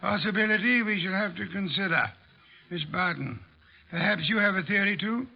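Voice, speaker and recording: Pompous, creaky-voiced master detective. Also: male voice, high voice, moderate pace. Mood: imperious, measured. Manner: pompous, formal, elaborate wording. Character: brilliant, imperious, pompous male private detective. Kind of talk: old-time radio drama acting. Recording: dull vintage radio recording.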